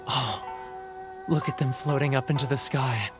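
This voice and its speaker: A man's voice: mid-range voice, moderate pace, music in the background, close to an everyday voice. An actor playing a homesick, guilt-ridden male merchant in a game, mournful and prone to stammering. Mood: gloomy. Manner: mournful, stammering, self-pitying. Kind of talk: video game cutscene dialogue.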